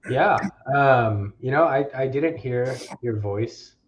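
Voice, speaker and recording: A man's voice: medium pitch, slow pace. A man co-hosting a casual livestream, reading viewer comments aloud in an easygoing, agreeable way. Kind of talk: casual chat. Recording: slightly dull remote audio.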